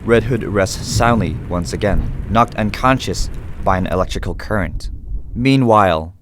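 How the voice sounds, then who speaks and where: A man's voice: on the low side, unhurried, a little hiss or hum, natural voice. A man reading scripted narration for a radio drama, setting each scene in present tense.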